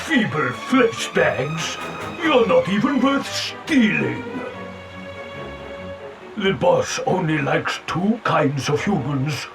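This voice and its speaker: An actor voicing a gloating, bullying monster henchman in a video game, sneering theatrical insults in a gravelly voice. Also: a little high, big pitch swings, slow, music in the background, exaggerated voice, poor audio. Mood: sneering menace. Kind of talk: video game villain acting.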